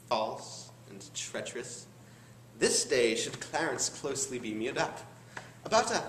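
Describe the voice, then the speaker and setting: A teenage male student performing a bitter, deformed, scheming duke in a theatrical, deliberate Shakespearean stage monologue. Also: mid-range voice, fairly bright tone. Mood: scheming, menacing. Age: teens. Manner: theatrical, deliberate, dramatic pauses. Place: high school classroom. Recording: roomy classroom recording, faint background noise.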